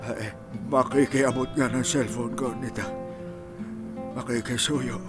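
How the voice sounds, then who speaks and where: A man with a Filipino accent playing a frail, gentle, pleading old grandfather in a radio drama. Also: mid-range voice, steady tone, a little slow, loud music behind the voice, natural voice. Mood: pleading, weary.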